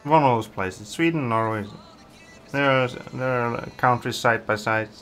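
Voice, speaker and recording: A man's voice: medium pitch, measured pace, fairly bright tone. Guy streaming a farm game, chatty, lots of ums, faint music behind. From Iceland. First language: Icelandic. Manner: chatty, reads viewer comments aloud, hesitant fillers. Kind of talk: casual live-stream chat.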